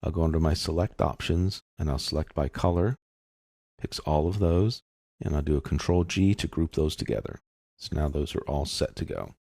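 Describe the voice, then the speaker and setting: Very deep, creaky-voiced man. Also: lively intonation, moderate pace, darkish tone, no echo, clean studio sound. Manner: explanatory, informal, thinking aloud. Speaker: software tutorial instructor. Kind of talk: step-by-step screen tutorial narration, casual.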